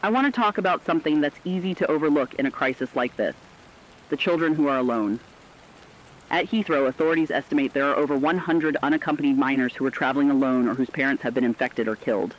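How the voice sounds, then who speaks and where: Dull lo-fi radio sound, mid-pitched male co-host, acted by a man, concerned, compassionate, earnest apocalypse radio drama commentary. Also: fast talker, a little hiss or hum, close to an everyday voice. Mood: somber concern. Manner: earnest, emotive, humane.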